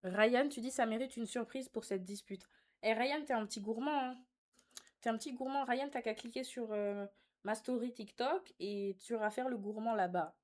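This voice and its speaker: A woman's voice: on the high side, normal speed. Young woman, sassy live streamer. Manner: teasing, sassy, slangy. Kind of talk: casual live chat, reading and answering viewer comments.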